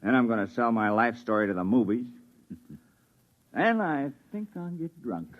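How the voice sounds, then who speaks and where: An actor playing a wisecracking, impatient geologist on a moon crew in a 1950s radio drama. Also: male voice, mid-range voice, animated, moderate pace, natural voice. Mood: joking. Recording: dull, lo-fi 1950s radio recording.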